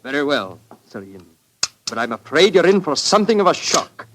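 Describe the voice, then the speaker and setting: An actor playing an anxious, defensive young lawyer in a 1940s radio drama, earnest in manner. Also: male voice, a little high, big pitch swings, normal speed, background noise. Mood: nervous, reluctant. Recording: vintage 1940s radio broadcast.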